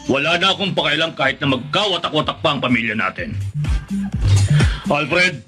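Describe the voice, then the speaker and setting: Actor as bitter old patriarch over dramatic music. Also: male voice, a little high, lively intonation, moderate pace, lightly acted. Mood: defiant. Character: proud, bitter old political patriarch, a grandfather. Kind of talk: Filipino radio drama acting.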